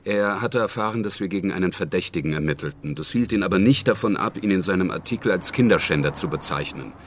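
An actor playing a blunt, sardonic police detective in a dry, argumentative manner in a radio crime drama. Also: male voice, sits low, animated, quick pace, slight background noise. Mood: firm, defensive.

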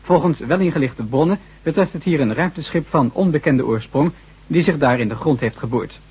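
An actor playing a formal, sober radio newsreader delivering scripted bulletins in a radio play. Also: male voice, on the high side, brisk, breathy edge. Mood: formal, serious. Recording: dull vintage 1970s radio recording.

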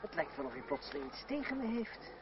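An actor playing a bold, self-assured young lover in a radio play, with a brisk theatrical delivery. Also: male voice, a little high, sing-song. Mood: animated. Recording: dull, noisy old radio recording, distant.

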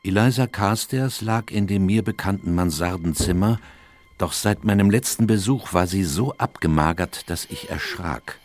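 An actor playing a detective's companion, narrating a radio play case in a grave, measured manner. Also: male voice, sits low, animated. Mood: grave, shaken. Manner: sober, measured storytelling. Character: Doctor Watson, male companion recounting a detective case gravely.

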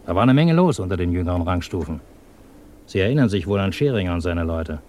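An actor playing a sardonic, insistent German communist agent and former officer in a 1950s radio drama, speaking in a clipped, probing way. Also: male voice, deep voice, lively intonation, quick pace, warm tone. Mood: earnest, insistent. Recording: 1950s radio recording, faint noise.

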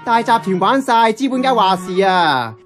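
An actress playing a brash, money-minded slacker nearing thirty, with a Hong Kong Cantonese accent and cocky, slangy radio drama delivery. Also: female voice, medium pitch, sing-song, normal speed, soft background music, natural voice. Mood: cynical. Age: young adult.

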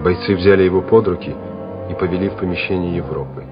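A dull, vintage radio recording with music behind a low male voice. The man narrates a war drama in a scripted, theatrical style. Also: fast talker.

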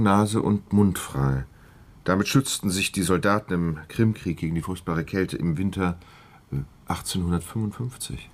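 An actor in a crime radio play voicing a sceptical, learned man with a husky voice, dry and explanatory. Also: fairly low pitch. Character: sceptical, pedantically learned man discussing a murder case.

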